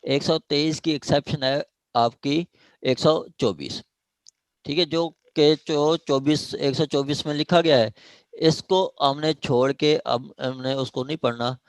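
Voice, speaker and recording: A man with a Pakistani accent teaches law in a very dry, close recording. He reads formal text aloud, then explains it again in simple steps, often pausing to check that listeners follow. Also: a little high, fairly even intonation, no echo. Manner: repetitive, step-by-step explaining with check-in questions. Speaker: law lecturer. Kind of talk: explanatory lecture, reading statute aloud and paraphrasing.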